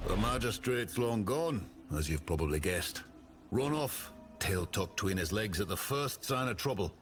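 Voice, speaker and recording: A man's voice: lowish voice, expressive, normal speed, over music, close to an everyday voice. A man voicing a plainspoken, loyal town militiaman in a video game. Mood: wry, probing.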